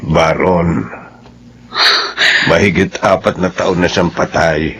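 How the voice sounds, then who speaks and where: A husky, low-voiced old father in a radio play, slowly and heavily breaking bad news. Every word comes out measured and mournful, with a breathy rasp. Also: steady tone, a bit bright, heavily acted. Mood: somber. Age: older. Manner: slow, heavy, sorrowful telling. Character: grieving old father, gentle and solemn. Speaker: father of the young man. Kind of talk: Filipino radio drama acting.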